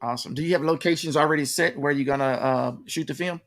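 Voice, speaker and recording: A man's voice: on the high side, fast talker. A man working as an interviewer, asking casual questions with hesitant, repeated phrasing.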